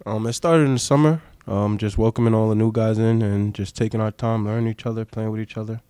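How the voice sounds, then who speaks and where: A young man with a low, dark voice answers reporters briefly at a table microphone. His pitch barely moves and his replies are plain and to the point. The sound is dry and close. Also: steady tone, fast, smooth, dry recording. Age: young adult. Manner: brief, matter-of-fact answers. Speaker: college basketball player. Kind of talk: postgame press conference answers. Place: press conference room. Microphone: table mic.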